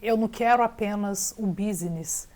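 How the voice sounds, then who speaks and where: A woman's voice: medium pitch, moderate pace, a little mellow. A Brazilian woman, a real estate agent, chatting in an interview with a Brazilian accent and often mixing English words into her Portuguese. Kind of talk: casual interview chat.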